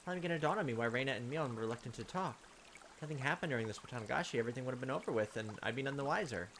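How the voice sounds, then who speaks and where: A man's voice: medium pitch, rapid-fire, fairly bright tone, a little hiss or hum. A man doing a visual novel let's play, reading aloud expressively and adding sarcastic asides. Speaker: let's player.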